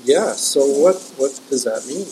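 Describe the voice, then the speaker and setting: A man hosts a casual interview in a mid-pitched voice. He sounds keen and curious, often stacking follow-up questions. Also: animated, a little slow, background noise. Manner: enthusiastic, asks many follow-up questions. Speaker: interviewer, host.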